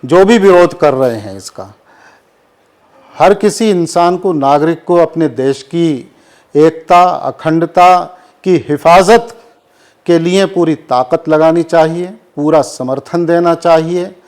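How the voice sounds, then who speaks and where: A man speaks as a cleric being interviewed, in a fairly high voice. He takes his time, delivering formal, measured statements full of Urdu vocabulary. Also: a little mellow. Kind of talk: formal press interview answers on current affairs.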